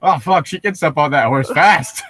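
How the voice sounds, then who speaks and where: A man's voice: a little high, normal speed, a bit bright, dry recording. A man casually riffing as co-host of a fan fiction reading, joking and laughing often. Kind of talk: casual riffing commentary on a story.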